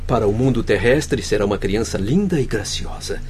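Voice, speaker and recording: A man's voice: lowish voice, animated, brisk, slight background noise, natural voice. A man playing a grave, sorrowful doctor and spiritual mentor in a radio drama, speaking formally and solemnly. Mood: solemn, grieving. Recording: faint music behind. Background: soft background music.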